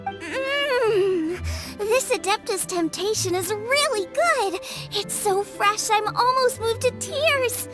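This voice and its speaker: A woman voicing a perky, earnest teenage girl chef in a video game, bubbly and expressive. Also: extremely high pitch, slow, music in the background, exaggerated voice. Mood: earnest, warm.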